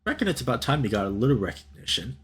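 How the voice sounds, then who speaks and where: Man playing a big bear restaurateur, fatherly, folksy, sentimental, lively husky delivery, visual novel character. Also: mid-range voice, racing, full character voice. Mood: warm, grateful.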